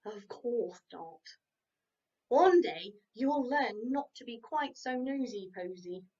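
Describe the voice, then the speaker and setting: A grumpy male horse who mumbles in a lowered, dismissive drawl, as a woman reader puts him on. He speaks slowly. The recording is distant and dull. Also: expressive, a little mellow. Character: slow, grumbling male horse.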